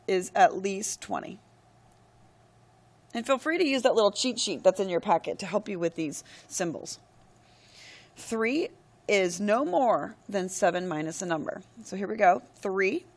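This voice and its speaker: A woman's voice: medium pitch, gravelly. A woman math teacher who is losing her voice walks through a screen-recorded lesson step by step. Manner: steady, step-by-step explaining with frequent "all right, go ahead".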